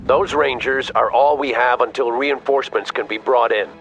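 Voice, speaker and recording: An actor playing a stern, decisive army officer giving clipped, authoritative orders in a video game. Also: male voice, medium pitch, steady tone, normal speed, a bit bright, faint music behind, natural voice. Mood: firm, commanding. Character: stern commanding male army officer, decisive battlefield leader. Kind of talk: video game radio-briefing acting.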